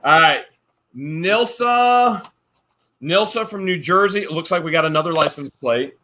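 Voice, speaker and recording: A man co-hosting a casual vlog, opening fan mail and reading letters aloud with playful, animated jokes. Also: fairly high pitch, a little slow. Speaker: channel co-host.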